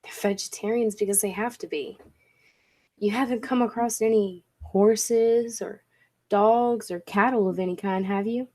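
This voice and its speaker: A woman's voice: medium pitch, steady tone, unhurried, a little mellow, close to an everyday voice. A woman playing a skeptical, intense professor of science, speaking earnestly and emphatically in a 1940s-style radio play. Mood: observant. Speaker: amateur drama club actor.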